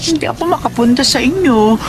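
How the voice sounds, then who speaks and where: A woman's voice: high-pitched, animated, a little fast. An actress playing an ailing, long-suffering older mother, plaintive and subdued, in a Tagalog radio drama. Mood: fearful, apologetic. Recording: music behind the voice.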